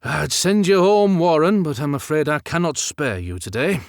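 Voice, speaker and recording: A man voicing a gentle, resigned older Victorian mill manager in an audiobook, wearily questioning and chiding his clerks. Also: fairly high pitch, expressive, moderate pace, no room sound, studio quality, voice pushed up. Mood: placid, announcing.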